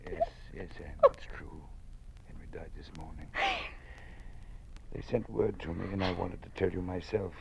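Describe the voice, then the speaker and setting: An actor playing a protective, kindly old college dean in an old-time radio drama. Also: male voice, deep voice, moderate pace, creaky, long pauses, dull audio. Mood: sorrowful, consoling. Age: older. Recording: noisy old radio recording.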